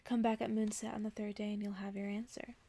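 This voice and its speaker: A woman reads as an aloof witch who lives alone on a cliff in a storybook tale. Her speech is curt and unhurried, in a hushed voice heavy with creak. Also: mid-range voice, little pitch movement. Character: aloof, terse female cliff-dwelling witch oracle.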